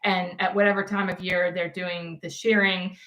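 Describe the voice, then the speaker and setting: A woman's voice: lowish voice, little pitch movement, normal speed, pushed and bright, echoey room, low-quality recording. A middle-aged woman co-hosting a knitting podcast, talking casually with lots of ums and restarts, her voice a bit worn. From United States. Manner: chatty, rambling with many ums and restarts. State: losing her voice. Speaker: podcast co-host. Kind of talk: casual chat.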